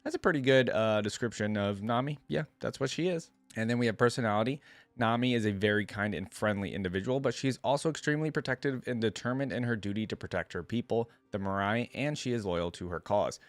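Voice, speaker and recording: A man's voice: fairly low pitch, fast, no echo. A man hosting a reaction video, talking informally and musing aloud as he comments and reads along.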